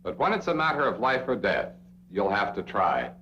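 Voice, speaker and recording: Casting: American male narrator for a 1960s training film, lowish voice, emphatic direct address, lively inflection. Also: moderate pace. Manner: direct address to the listener, rhetorical questions, emphatic. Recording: dull, noisy old film soundtrack with hum.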